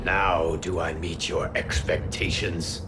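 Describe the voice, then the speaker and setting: A man playing a haughty, commanding villain in a video game, with a gravelly voice and imperious manner. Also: deep voice, flat delivery. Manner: slow, imperious. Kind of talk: video game cutscene acting. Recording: very loud music behind the voice.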